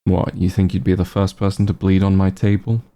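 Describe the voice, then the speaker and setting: A man playing a calm, caring back-room doctor who gently scolds an old friend in a softly spoken ASMR roleplay, soothing with dry bluntness. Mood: brisk, dry concern. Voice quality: low, gravelly, husky. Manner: soothing, unhurried bedside reassurance with dry bluntness.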